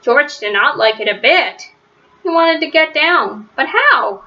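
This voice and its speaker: A woman reading a children's storybook aloud in an expressive way, with drawn-out emphasis. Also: sits high. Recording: dull, lo-fi sound.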